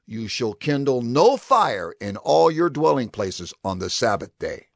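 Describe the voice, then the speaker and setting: An actor playing a male prophet who solemnly instructs his people with deliberate, declamatory phrasing in a dramatized scripture reading. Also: medium pitch, big pitch swings, gravelly, dry recording, clean studio sound, exaggerated voice. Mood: solemn command.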